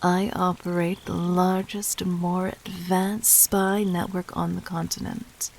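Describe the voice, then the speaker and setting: A woman playing a regal, cunning, gently dominant demon queen in a roleplay audio drama, speaking formally and intimately with measured, breathy poise. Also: lowish voice, little pitch movement, slight background noise. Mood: poised, knowing. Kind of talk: scripted roleplay audio drama addressed to the listener.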